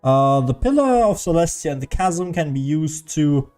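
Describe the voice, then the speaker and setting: A man's voice: a little high, animated, moderate pace, a little mellow, soft background music. A man casually streaming a video game, musing aloud as he comments to his chat. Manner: musing aloud, hums to self.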